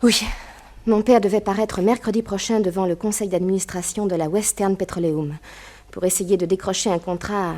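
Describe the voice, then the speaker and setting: Woman acting a worried young rich daughter in a 60s french radio play, earnest, emphatic, mid pitched voice. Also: fairly even intonation, normal speed, mellow, close to an everyday voice. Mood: earnest, urgent. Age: young adult. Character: anxious, determined young daughter of a rich businessman. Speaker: actress in a detective radio serial.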